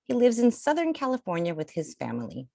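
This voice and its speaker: A woman reading aloud to children in an expressive, theatrical storytelling style, drawing out key words. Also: fairly high pitch, a little fast, creaky, no room sound, studio quality. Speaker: children's picture book reader.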